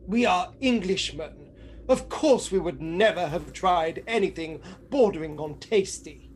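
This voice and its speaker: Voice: medium pitch, animated, a little slow, slight background noise, natural voice. Someone playing a earnest detective's sidekick, bit bumbling, dry asides, very up and down delivery, radio spoof. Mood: dry, wry. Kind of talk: comedy radio play acting.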